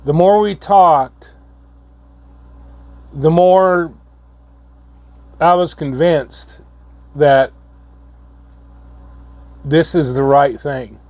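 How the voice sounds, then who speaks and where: A hoarse man, coming down with a cold, giving a halting, earnest update to his viewers. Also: on the high side, darkish tone, no echo. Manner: halting, repeats words, slow and earnest. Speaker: channel host. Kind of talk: casual vlog update.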